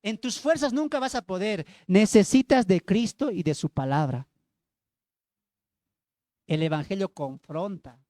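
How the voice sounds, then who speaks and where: A man's voice: high-pitched, expressive, unhurried, pauses often, dark tone, no echo, studio quality. A man with a Bolivian accent preaching and teaching from the Bible, emphatic, repeating phrases and putting questions to his listeners. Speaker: evangelical preacher.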